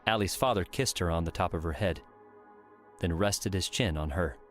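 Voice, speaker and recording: A man's voice: low voice, normal speed. A man narrating a scripted audiobook story, with music playing underneath.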